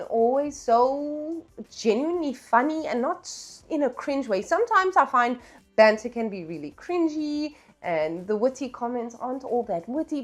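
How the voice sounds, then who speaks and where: A woman talking casually in a book vlog, chatty and playful, with slangy, self-deprecating asides. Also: on the high side. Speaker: book vlogger.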